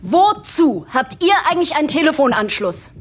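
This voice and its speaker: An actress playing an agitated, outspoken office colleague in a radio play, indignant and confrontational. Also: female voice, sits high, big pitch swings, normal speed.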